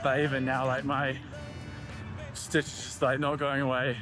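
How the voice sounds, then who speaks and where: A man's voice: medium pitch, completely flat delivery, moderate pace. A man, out of breath while talking to camera outdoors on a run, rambling casually with lots of filler words. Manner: rambling, self-deprecating, lots of "like". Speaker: running vlogger. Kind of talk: casual vlog talk while running. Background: loud music.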